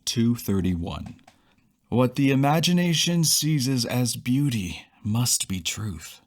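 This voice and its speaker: Male poetry reader, breathy, very slow, solemn, mid pitch. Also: very animated. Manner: slow, solemn reading that sinks into whispers. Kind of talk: poetry audiobook recitation.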